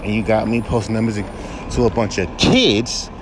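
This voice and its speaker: A young man with a droll baritone voice, ranting bluntly and profanely on his solo podcast. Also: fairly even intonation, normal speed, noisy background. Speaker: podcast host and rapper.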